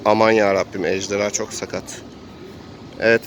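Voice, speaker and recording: A man's voice: fairly low pitch, flat delivery, normal speed, lo-fi sound. Man narrating a role-play game over background noise. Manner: narrates game action as if living it. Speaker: game master and player.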